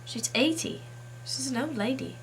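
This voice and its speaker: A woman chatting casually to camera as a video blogger, halting as she tries out a put-on accent. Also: high-pitched, a little hiss or hum, poor audio.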